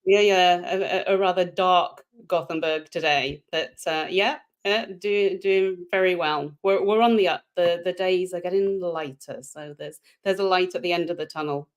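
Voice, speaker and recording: A woman's voice: lowish voice, moderate pace, hardly any pauses. A woman who grew up in the UK, a guest on a remote call, chatting casually with self-deprecating humor and frequent repeated words. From United Kingdom. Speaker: podcast guest, organizational psychologist. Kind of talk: casual interview chat.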